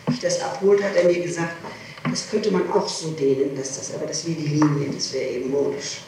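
A woman's voice: sits low, a little fast. A woman answering questions in a formal interrogation, explaining herself and insisting on details as she repeats them. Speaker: person being questioned by a prosecutor. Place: reverberant room, interrogation recorded on tape. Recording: echoey archival tape, distant mic, hiss.

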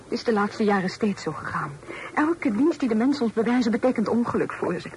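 A woman playing a proud, sensitive young lady in a period radio drama, formal and earnest, halting when upset. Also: on the high side, animated, darkish tone, lightly acted. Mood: sincere, apologetic. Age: young adult. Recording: slightly noisy old radio recording.

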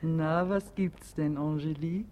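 A cheeky, pert housemaid. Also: female voice, low voice, steady tone, unhurried, mellow. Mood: brisk. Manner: pert, mocking, unimpressed. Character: cheeky, quick-witted housemaid, bold and plain-spoken. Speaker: actor. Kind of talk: German radio play comedy acting.

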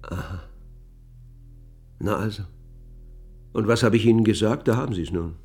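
Radio drama casting: husky chief physician, jovially exasperated, blustering and colloquial. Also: male voice, fairly low pitch, moderate pace, a little mellow, soft background music. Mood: gruffly triumphant. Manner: blustering, colloquial, wryly grumbling. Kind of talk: German radio play acting.

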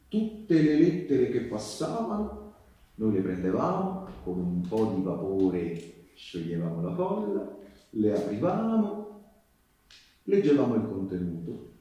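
A male actor playing an earnest partisan and postal worker, telling his wartime story in a halting, conversational stage monologue. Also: fairly low pitch, dark tone. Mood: confiding, deliberate. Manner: conversational, halting, with rhetorical tags and repetitions. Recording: echoey live theatre, distant microphone.

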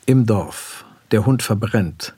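An actor playing a detached narrator who reads scene directions in a terse, matter-of-fact way for a radio drama. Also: male voice, on the low side, expressive, slow pace, dark tone, slightly rough, exaggerated voice. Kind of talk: scripted stage-direction narration.

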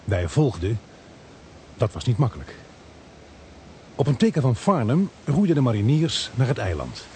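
A male actor plays an earnest protagonist who narrates a radio thriller. His mid-pitched voice moves expressively up and down while keeping a measured, suspenseful storytelling manner. There is faint noise behind him. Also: big pitch swings, mellow, natural voice. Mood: suspenseful narrating. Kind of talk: dramatic first-person radio play narration.

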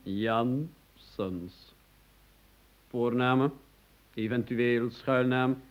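An actor playing a touchy, formal man who is quick to take offence in a radio play. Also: medium pitch, a little hiss or hum. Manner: indignant, formal and officious. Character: stiff, touchy man named Kwartel.

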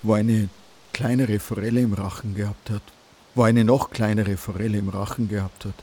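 A man's voice: lowish voice, fairly even intonation, normal speed, warm tone, husky. A man playing a sombre teller of old village tales in a literary radio play. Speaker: second narrator.

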